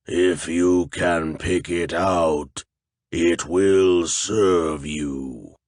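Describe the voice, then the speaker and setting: A man doing a slow, deep flesh golem. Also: fairly even intonation, a little mellow, no room sound, studio quality, full character voice. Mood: menacing. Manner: slow, deep, gurgling and distant. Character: huge stitched flesh golem, cold and menacing.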